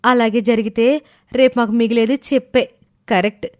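A woman's voice: fairly high pitch, steady tone, normal speed, dry recording, studio quality, lightly acted. A woman playing suspicious, sly young women in a cartoon family story. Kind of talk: scripted cartoon dialogue.

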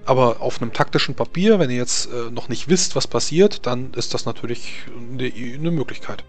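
A man's voice: medium pitch, moderate pace. A man running a tabletop game, explaining battle tactics in a rambling way with many fillers. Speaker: game master.

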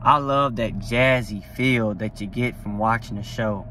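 A man's voice: fairly low pitch, unhurried, bright, forceful tone, slight background noise, low-quality recording. A young man talking casually to a phone camera from a parked car, rambling and enthusiastic with lots of fillers. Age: young adult. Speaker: vlogger. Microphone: phone at arm's length.

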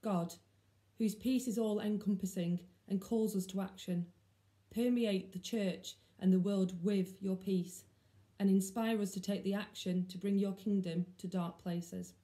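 Very mellow young woman reading a prayer. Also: medium pitch, fairly even intonation, unhurried. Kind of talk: read-aloud prayer. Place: small plain room at home. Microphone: laptop or phone at desk distance.

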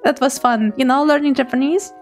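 A young woman streaming as a VTuber, chatting casually with her viewers and reading their messages in a giggly, playful, animated way. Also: high voice, brisk, faint music behind.

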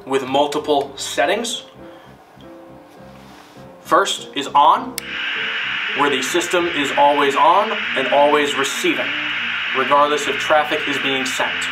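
A man narrating a scripted instructional film in a deliberate, formal, step-by-step manner. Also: on the high side, bright, forceful tone, recorded from a distance, poor audio.

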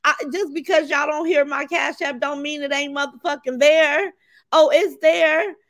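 A raspy-voiced woman hosts a livestream, reading chat names aloud and cursing freely with blunt, brash energy. Her voice sits a touch high. The sound is close and dry, with no room around it. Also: fairly even intonation, normal speed, no echo. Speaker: livestream host.

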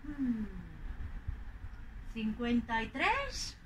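An older woman from Madrid with a Madrid Spanish accent, acting out a slow, clearly spoken teaching dialogue outdoors on a clip-on mic. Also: fairly high pitch, big pitch swings, a little hiss or hum, rough recording. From Spain. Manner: playful, deliberate spelling out of words. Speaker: language teacher in a scripted dialogue. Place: outdoors in front of a green wall.

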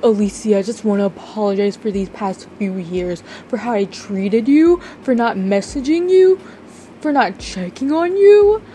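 A woman voicing a remorseful middle-aged father, halting and earnest, in an amateur roleplay drama. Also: medium pitch, animated, warm tone, a little hiss or hum, natural voice. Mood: apologetic. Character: remorseful middle-aged father apologizing to his grown daughter.

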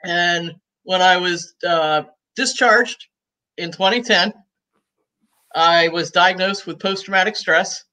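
A woman in her sixties, talking as a guest on a video call from home, chatty and easygoing and quick to laugh. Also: on the low side, sharp tone, poor audio. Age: 60s. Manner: talkative and easygoing, laughs often. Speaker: guest, cigar shop owner. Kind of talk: casual interview chat. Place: room at home.

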